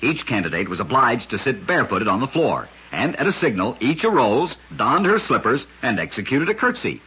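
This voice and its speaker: A man narrating short scripted stories as host of a vintage radio program, with a husky, gritty voice and a dramatic storyteller's delivery, on an old radio recording. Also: mid-range voice, sing-song, normal speed, bright, forceful tone, dull audio.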